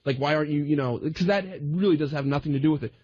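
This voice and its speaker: A man chatting on a radio panel in a hesitant, halting way, often repeating himself. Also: a little high, a little mellow, dull audio, slight background noise. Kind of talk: casual radio roundtable chat.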